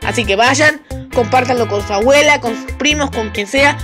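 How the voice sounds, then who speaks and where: A woman's voice: high-pitched, normal speed, over music. A woman giving casual announcements to viewers as a channel creator.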